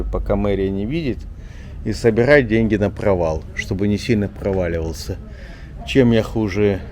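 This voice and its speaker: A man with a fairly low voice talks his way through a walking vlog in an old town street. He rambles in a relaxed, chatty way, circling back with filler words. Faint street noise and passing traffic sit under his voice. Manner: chatty, rambling, often says "вот". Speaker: journalist vlogger. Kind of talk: casual walking vlog chat.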